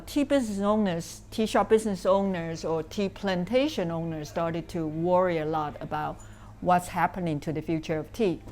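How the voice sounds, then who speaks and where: Lowish-voiced woman with a Taiwanese accent. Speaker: interviewee, cafe owner. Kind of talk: informal explanatory interview talk.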